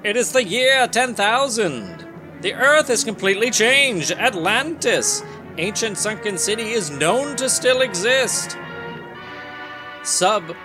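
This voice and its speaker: A man co-hosting a podcast, chatting casually and turning theatrical when he reads comic-book narration aloud. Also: sits high. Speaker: podcast co-host.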